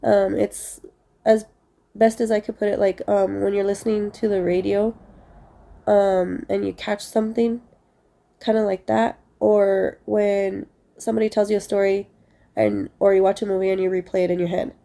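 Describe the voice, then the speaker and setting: A woman's voice: mid-range voice, level tone, normal speed, creaky, mellow. A young woman with a stuffy, congested voice, casually telling a rambling story to her viewers with many fillers. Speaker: vlogger.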